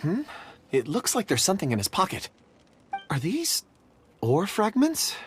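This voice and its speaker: A modest, curious stone reader. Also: male voice, sits high, wildly swooping pitch, unhurried, a little hiss or hum, heavily acted. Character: friendly man who reads stones. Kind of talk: video game voice acting.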